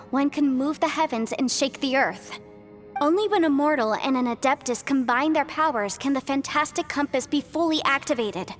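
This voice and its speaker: A high, youthful game heroine, voiced by an actress, earnest and hopeful. She explains things insistently and with determination, with soft music behind her. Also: close to an everyday voice. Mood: determined. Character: earnest young half-human, half-adeptus woman, determined and hopeful. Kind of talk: video game story acting.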